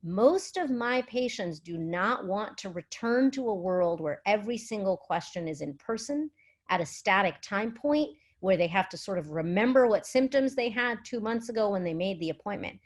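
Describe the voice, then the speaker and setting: A woman's voice: mid-range voice, expressive, moderate pace. A middle-aged woman speaking as a physician at a virtual summit, explaining in an articulate, conversational way with emphasis and rhetorical questions.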